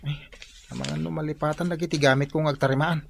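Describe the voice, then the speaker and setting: A woman's voice: low-pitched, steady tone, fast, vocal fry, slight background noise. A woman with an Ilocano accent playing a polite young male student nephew who repairs appliances, in a radio drama. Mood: casual.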